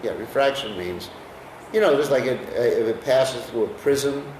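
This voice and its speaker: A man's voice: mid-range voice, normal speed, a little mellow, roomy sound, recorded from a distance, slight background noise, poor audio. An American man teaching a scripture class in a conversational way, often putting questions to his listeners. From United States. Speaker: lecturer on scripture.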